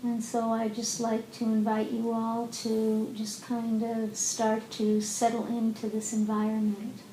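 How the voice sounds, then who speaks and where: A woman leading a guided meditation as a teacher, speaking gently and unhurriedly with long pauses in an indoor room. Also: completely flat delivery. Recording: roomy echo, faint rumbling background noise.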